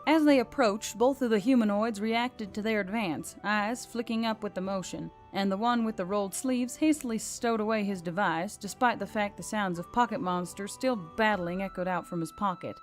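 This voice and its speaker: A woman reading scripted fantasy fiction as an audiobook narrator. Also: mid-range voice. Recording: background music under the reading. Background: music.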